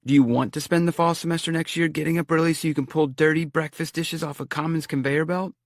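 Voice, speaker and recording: A man's voice: fairly high pitch, fairly even intonation, fast talker, dry recording, clean studio sound, pitched above the performer's own voice, close to an everyday voice. A man voicing a sardonic, wisecracking young male college student worried about money. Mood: exasperated.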